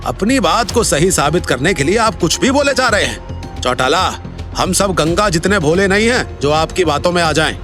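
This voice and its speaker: A man's voice: high voice, animated, normal speed, fairly bright tone, faint music behind. A man playing a sarcastic, scornful businessman uncle in an audio drama, taunting and argumentative. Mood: irritated. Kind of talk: Hindi audio drama acting.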